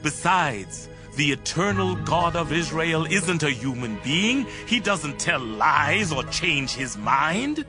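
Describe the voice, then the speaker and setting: Casting: an indignant, commanding prophet for audio scripture drama; higher male register, over prominent music. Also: sing-song, takes it slowly, hardly any pauses. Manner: commanding, declamatory. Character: stern, indignant male prophet rebuking a king.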